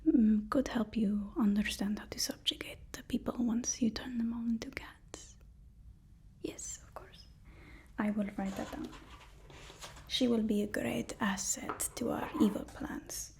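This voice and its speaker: A woman playing a deferential, flustered personal assistant to a supervillain in a halting, whispered ASMR roleplay. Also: a little high, steady tone. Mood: obsequious, flustered. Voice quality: soft, breathy whisper.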